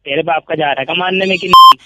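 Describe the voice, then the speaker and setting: A man's voice: a little high, rapid-fire. A man on a phone call, talking back bluntly and combatively. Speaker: prank call recipient. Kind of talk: phone conversation.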